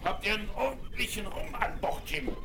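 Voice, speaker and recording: An actor playing a hard-drinking old sea captain in a radio play, with a hoarse, growling voice and blustering commands. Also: male voice, high voice, moderate pace, close to an everyday voice. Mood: gruff. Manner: blustering, commanding, bursts of shouting. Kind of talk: 1970s radio play acting. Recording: hissy vinyl record.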